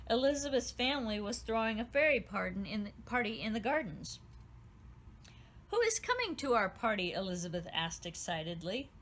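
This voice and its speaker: A woman, the author, reading her children's storybook aloud with gentle, expressive storytelling. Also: mid-range voice, slight background noise.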